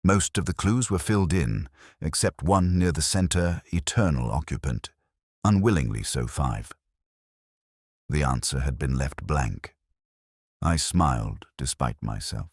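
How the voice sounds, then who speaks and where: A man narrating a literary detective story in the first person, with a measured, reflective delivery of scripted prose. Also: very deep voice, lively intonation, breathy edge, no echo, studio quality.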